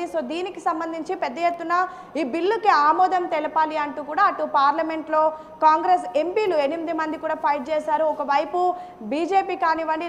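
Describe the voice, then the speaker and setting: A woman's voice: sits high, long reverb tail. A woman news correspondent giving a live, unscripted running report with repetitive connective phrasing.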